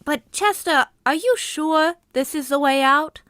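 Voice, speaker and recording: A woman's voice: sits high, unhurried, a bit bright, slight background noise. A woman playing a timid young woman on a first date in an amateur vintage radio play, sounding worried and breathless.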